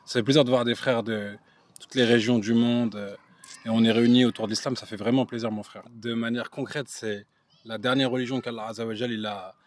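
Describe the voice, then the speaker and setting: A man interviewing passers-by on the street, friendly and warm in tone, often adding Arabic blessings. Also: mid-range voice, steady tone, a little fast. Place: outdoors on a street.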